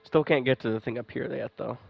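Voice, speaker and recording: A man's voice: mid-range voice, fairly even intonation, quick pace, gravelly, poor audio. A man doing casual, rambling gameplay commentary, thinking aloud and swearing now and then. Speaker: gaming streamer playing and commenting. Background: game music.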